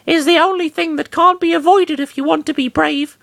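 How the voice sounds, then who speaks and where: A proud, solemn beast-warrior lectures in a strained falsetto that sits far above a man's normal range. He speaks in stiff, broken phrases with grave self-importance. The recording is dry and close. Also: fairly even intonation, fairly quick, vocal fry, fairly bright tone, no echo, exaggerated voice. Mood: lecturing. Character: proud, solemn male jackal-like fighter creature. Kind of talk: comic dramatic reading of fan fiction.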